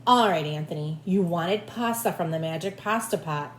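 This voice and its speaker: A woman voicing a firm, deliberate storybook village witch delivering judgement, in an echoey room. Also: fairly low pitch, lightly acted. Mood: stern. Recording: echoey room with faint background noise.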